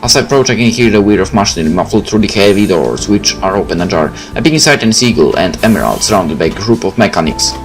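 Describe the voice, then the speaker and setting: A man's voice: fairly low pitch, lively intonation, fast, few pauses. A man reading a visual novel's narration and dialogue aloud as a let's player, with casual asides and game music behind.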